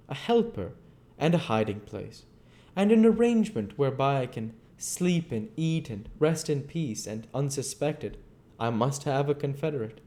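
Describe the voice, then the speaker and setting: A man's voice: mid-range voice, warm tone, pitched above the performer's own voice, lightly acted. A man voicing a fanatical, megalomaniac schemer in a storybook reading, with emphatic, declamatory delivery.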